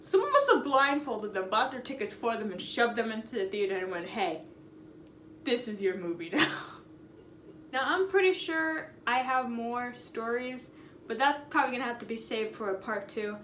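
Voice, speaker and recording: A young woman casually telling an anecdote in a chatty, animated, sarcastic way, stretching words for emphasis. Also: fairly high pitch, moderate pace. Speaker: storytime vlogger. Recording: roomy echo, noticeable background noise.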